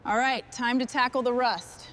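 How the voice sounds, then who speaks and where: A woman's voice: on the high side, fairly even intonation, normal speed, a bit bright, slight background noise. A woman working as a mechanic, giving short hands-on commentary on her work as she goes. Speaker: mechanic narrating restoration work.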